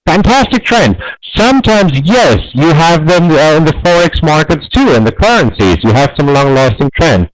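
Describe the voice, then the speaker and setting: A man's voice: a little high, sing-song, normal speed, few pauses, rough recording. A man with a German accent teaching trading basics in a live webinar, stressing key points and repeating himself, with frequent fillers. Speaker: trading educator.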